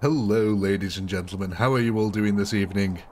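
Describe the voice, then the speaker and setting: A man's voice: lowish voice, steady tone, brisk. A man hosting a live game stream in casual commentary, cheerful and chatty, laughing often and sniffly with a cold. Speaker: livestream gaming host.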